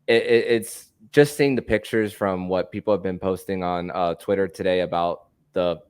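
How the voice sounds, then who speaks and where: A man hosting a casual hockey podcast, who talks haltingly and repeats words as he forms his thoughts. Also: low voice, steady tone, a little mellow, smooth, no room sound. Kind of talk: casual sports podcast chat.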